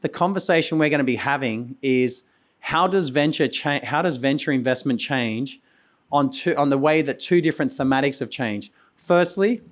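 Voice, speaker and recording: A hoarse man, losing his voice, hosting a conference and giving a loose, rambling keynote full of restarts and coughs. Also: medium pitch. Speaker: conference host and company founder. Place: conference venue.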